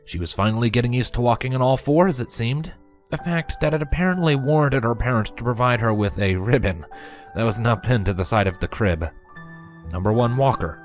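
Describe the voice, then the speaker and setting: A man giving an expressive scripted narration of a fan fiction story. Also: medium pitch, brisk, soft background music.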